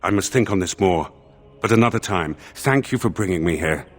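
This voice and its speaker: An actor playing a solemn spirit in a dead man's body in a video game, formal and contemplative, with a husky, hollow, reverberant voice. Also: deep voice, lively intonation, fairly quick, soft background music. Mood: solemn wonder. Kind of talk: video game character acting. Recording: long reverb on the voice.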